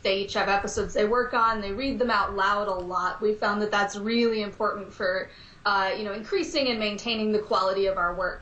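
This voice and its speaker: A woman guest on a podcast, explaining in a steady, casual way. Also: mid-range voice. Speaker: podcast guest from an audio drama. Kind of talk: casual interview talk. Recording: echoey room.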